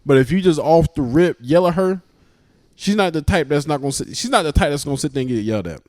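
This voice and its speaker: Animated man in his thirties, fairly high voice. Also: fast, dry recording, clean studio sound. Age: 30s. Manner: animated storytelling, laughs often. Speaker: podcast co-host. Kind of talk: casual chat.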